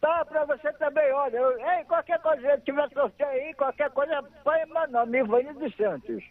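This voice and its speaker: A man's voice: very high voice, moderate pace. A man, calling in to a radio show and chatting casually, repeating himself and laughing easily. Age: 60s. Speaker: radio call-in caller. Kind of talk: casual phone chat. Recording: tinny phone line.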